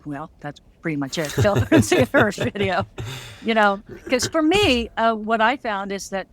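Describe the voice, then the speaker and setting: A woman's voice: mid-range voice, expressive, normal speed. A woman hosting an interview, asking questions in a conversational, rambling way. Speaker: interviewer host.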